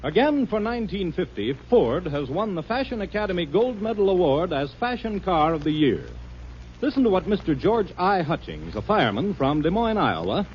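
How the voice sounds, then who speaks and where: A man reading a 1950s radio commercial, emphatic and formal like a salesman, with a slightly rough voice. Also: sits high, slight background noise. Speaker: commercial announcer. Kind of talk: 1950s radio advertising read. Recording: dull old radio recording.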